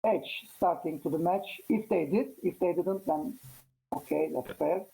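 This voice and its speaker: A man from Turkey, a Turkish native speaker, chatting casually as a guest after a chess match, halting and full of fillers. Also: high voice, creaky, mellow, poor audio. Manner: halting, many fillers and restarts. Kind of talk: casual post-match interview.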